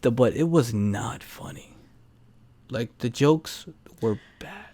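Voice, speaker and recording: A man's voice: medium pitch, animated, slow pace, dark tone, slightly rough. A man co-hosting a casual film review chat, blunt and free with swearing. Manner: blunt, profane. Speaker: podcast co-host reviewing films.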